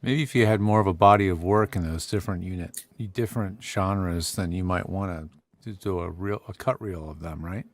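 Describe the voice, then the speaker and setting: Low-pitched relaxed male co-host. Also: brisk. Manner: relaxed, reads listener questions aloud. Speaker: podcast co-host. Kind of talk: casual livestream interview.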